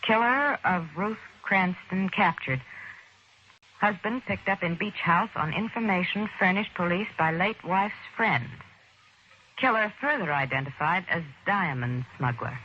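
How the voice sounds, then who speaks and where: A woman's voice: on the low side, lively intonation, unhurried. An actress playing a shrewd, nervy young woman in an old radio crime drama, speaking in an emphatic, dramatic way. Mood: tense reading. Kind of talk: 1940s radio drama acting. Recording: dull, faintly noisy old radio recording.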